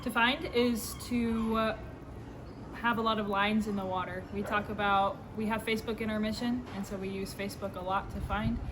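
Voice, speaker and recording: A young woman from Colorado, a missionary, answering interview questions in a friendly, chatty way with many fillers. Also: medium pitch. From Colorado, USA. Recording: loud background noise.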